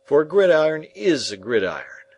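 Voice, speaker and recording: A man voicing a slow, kindly working-class blacksmith, speaking with rustic grammar in a ponderous, emphatic way. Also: mid-range voice, very animated, breathy edge, dry recording, pitched above the performer's own voice, heavily acted. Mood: earnest.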